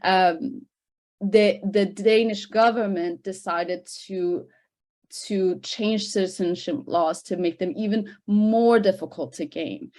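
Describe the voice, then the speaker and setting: A woman speaking as a researcher on a panel, explaining in a semi-formal way, with halting repetitions and stressed key words. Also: medium pitch. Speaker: panel speaker, researcher presenting report findings. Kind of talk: semi-formal spoken presentation at an online discussion.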